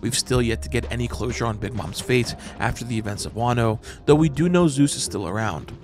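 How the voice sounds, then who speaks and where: A man reads a scripted breakdown in an even, analytical tone, as if walking the listener through evidence point by point. His voice sits at an ordinary middle pitch for a man. A clear music bed runs underneath the whole time. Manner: measured, analytical explaining. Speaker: manga analysis channel host. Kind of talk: scripted narration. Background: background music.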